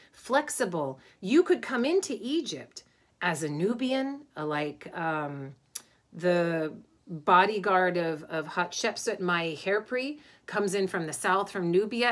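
A middle-aged woman, an Egyptologist, lecturing informally to a webcam from her home study, thoughtful and pausing with many ums and restarts. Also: mid-range voice. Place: home study with bookshelves, indoors.

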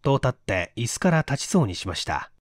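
A man's voice: mid-range voice, very animated, a little fast, no echo, clean studio sound. A man reading a mystery story aloud as a scripted narration. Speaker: narrator, audiobook reader.

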